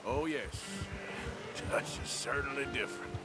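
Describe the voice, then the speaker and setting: A man's voice: medium pitch, moderate pace, close to an everyday voice. An actor playing a weary, sardonic but loyal American outlaw gunman in a Western game, with a dry, laconic drawl. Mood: reflective. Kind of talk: naturalistic Western game acting. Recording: loud music behind the voice.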